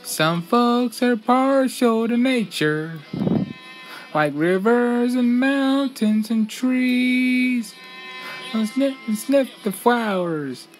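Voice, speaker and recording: A woman's voice: a little high, lively intonation, very slow, faint music behind, voice pushed up, low-quality recording. A woman voicing a grumpy, easily flustered male country bear who complains about the outdoors in an amateur cartoon-style stage show. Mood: grumbling. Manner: complaining, shooing, exclaiming.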